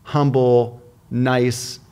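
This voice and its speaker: A man hosting a podcast interview in a relaxed, upbeat, chatty way, joking with his guests. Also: medium pitch, smooth. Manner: upbeat, chatty, quick to joke. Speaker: podcast host. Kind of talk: casual interview chat.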